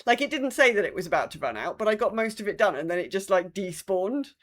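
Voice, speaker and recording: A woman's voice: mid-range voice, lively intonation, fast. A woman co-hosting a casual gaming chat podcast, griping bluntly in an exasperated, sweary way. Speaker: podcast co-host. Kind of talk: casual chat about a video game.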